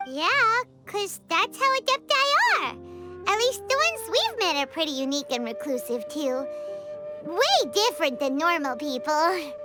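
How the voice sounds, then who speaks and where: A tiny fairy sidekick from a video game with an extremely high, childlike voice. Her delivery swings wildly in pitch, exclamatory and cheeky, always excitable. Loud music plays behind her. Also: a little slow, heavily acted. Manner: exclamatory, refers to self in third person. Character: small excitable chatty fairy companion girl, childlike and cheeky. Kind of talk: animated game voice acting.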